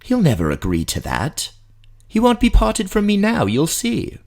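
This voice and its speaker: A man's voice: high-pitched, huge pitch swings, normal speed, vocal fry, a little mellow, pitched above the performer's own voice, full character voice. A man voicing an emotional, vulnerable young elven noblewoman in a fantasy audiobook drama. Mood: tearful.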